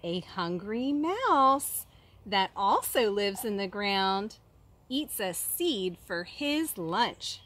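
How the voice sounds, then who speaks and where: A woman reading a children's picture book aloud like a kindergarten teacher, expressively, with dramatic pauses and questions for young listeners. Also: mid-range voice, sing-song, a bit bright, slight background noise.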